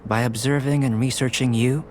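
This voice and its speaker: A man playing a calm, aloof young alchemist in a video game, speaking in a measured, formal, detached way. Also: mid-range voice, slight background noise, close to an everyday voice. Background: game music.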